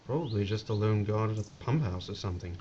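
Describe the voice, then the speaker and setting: An actor playing a wry, courteous American army captain with an American accent in an audio drama. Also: male voice, on the low side, quick pace, warm tone, close to an everyday voice. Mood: dry, measured. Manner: wry, polite. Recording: dull lo-fi recording with faint noise.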